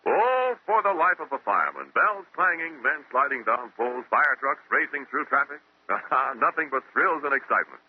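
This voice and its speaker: A man's voice: mid-range voice, very animated, normal speed, husky. A man announcing and narrating in a polished 1940s radio style. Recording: old tinny radio recording.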